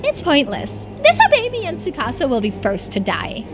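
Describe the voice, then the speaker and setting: A woman's voice: sits high, wildly swooping pitch, normal speed, loud music behind the voice. A woman voicing a bitter, menacing young villainess, gloating and unhinged, in a visual novel read-through. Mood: threatening.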